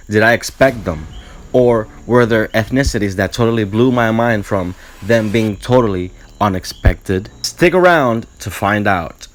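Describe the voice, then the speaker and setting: A man from Puerto Rico talking to camera in an earnest, explanatory way, reading prepared lines for his vlog. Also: lowish voice. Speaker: vlogger. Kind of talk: scripted talk to camera.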